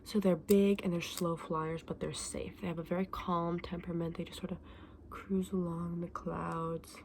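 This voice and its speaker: A woman doing a soft-spoken, often whispered ASMR roleplay as a gentle, chatty shopkeeper, explaining her wares informally and without hurry. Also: fairly low pitch, flat delivery, normal speed, a little hiss or hum. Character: gentle, chatty woman selling dragon eggs.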